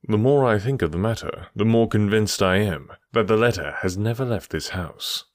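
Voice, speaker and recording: An unflappable detective, as a male reader voices him, answers in a low, dry, unhurried tone. His voice sits low and plain. The recording is close with no room sound. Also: no echo, natural voice. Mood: cool. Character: calm, cool male detective.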